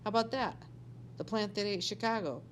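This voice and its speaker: A woman's voice: mid-range voice, a little fast, a little hiss or hum, voice pushed up, close to an everyday voice. A woman voicing a shifty, defiant schoolgirl in a storybook, with a blunt, challenging manner. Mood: offhand.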